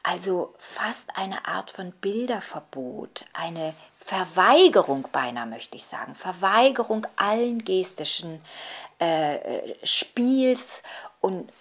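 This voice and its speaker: A woman's voice: lowish voice, big pitch swings, slow, fairly bright tone. An older woman giving reflective scholarly interview commentary, hesitantly searching for precise words. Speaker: writer and commentator interviewed on Adorno.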